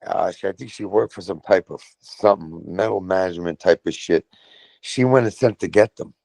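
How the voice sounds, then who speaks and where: This middle-aged man speaks with a New York accent, and his low, gravelly voice is recorded dry and close. He tells his stories casually, cursing freely and acting out what people said. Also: a little fast, dry recording, poor audio. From Brooklyn, New York. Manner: profane, animated storytelling with voiced quotes. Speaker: guest, metal vocalist. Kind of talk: casual storytelling.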